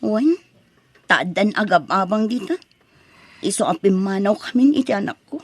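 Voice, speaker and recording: A woman's voice: medium pitch, lively intonation, normal speed, husky, no echo. A woman playing a troubled, ashamed young single mother in an Ilocano radio drama, plaintive and pleading. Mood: subdued, resigned. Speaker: actor in a radio comedy drama.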